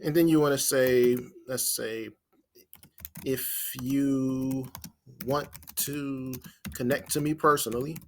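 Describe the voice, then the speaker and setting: A man's voice: medium pitch, steady tone, slow, a little hiss or hum. A man presenting an informal screen-share tutorial as a marketing trainer, explaining step by step and thinking aloud as he types.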